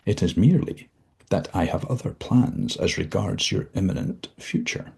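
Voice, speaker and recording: A man's voice: low voice, very animated, moderate pace, creaky, darkish tone, husky, full character voice. A man voicing the devil as a formal, condescending and menacing figure. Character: the devil, suave, menacing, all-knowing male.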